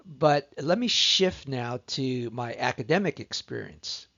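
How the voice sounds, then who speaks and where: A middle-aged man from Southern California, a course instructor introducing himself in a recorded lecture, measured and earnest with frequent pauses. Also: mid-range voice, animated, creaky, pushed and bright, dull audio.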